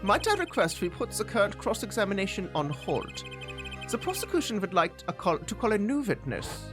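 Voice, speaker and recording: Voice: mid-range voice, very animated, brisk, lightly acted. A gamer voicing a cocky young rock-star prosecutor with a swaggering, hugely swooping delivery over game music. He sounds sure of himself and glides through each line. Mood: smug. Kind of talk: reading game dialogue aloud.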